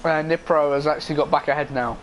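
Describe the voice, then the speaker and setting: A young man commentating an esports race in a casual style, hoarse and losing his voice, clearing his throat often. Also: on the high side, fairly even intonation, fast, a little hiss or hum, low-quality recording. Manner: casual, frequent throat clearing and filler ums.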